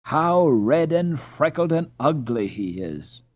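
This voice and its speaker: Man putting on a high-pitched saucy young girl. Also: sing-song, takes it slowly, darkish tone, highs cut off, full character voice. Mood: disgusted. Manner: indignant, emphatic. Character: saucy, scornful young girl who detests a boy.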